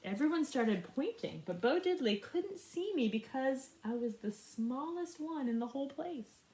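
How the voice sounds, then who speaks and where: A woman reading a picture book aloud in an expressive, animated way for young listeners. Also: high-pitched, roomy sound, poor audio. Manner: animated, pauses to ask young listeners questions. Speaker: storybook reader. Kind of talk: expressive children's read-aloud.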